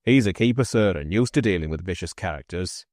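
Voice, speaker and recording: A man's voice: lively intonation, a little fast, darkish tone, dry recording, studio quality. Man playing a wary rustic manservant. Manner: rustic dialect, low firm tones. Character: wary, stubborn country manservant.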